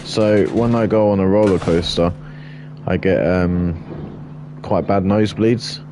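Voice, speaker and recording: Deep voiced guy chatting slow and blunt over a game, noisy hiss behind him. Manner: rambling, blunt, chatty aside while building. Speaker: gaming streamer. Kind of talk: casual gameplay commentary.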